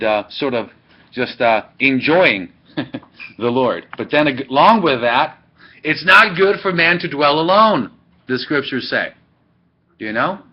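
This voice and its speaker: Rambling male teacher. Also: mid-range voice. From Sweden. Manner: rambling, digressive, self-correcting. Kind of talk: classroom lecture. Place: classroom. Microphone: webcam. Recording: dull lo-fi webcam audio.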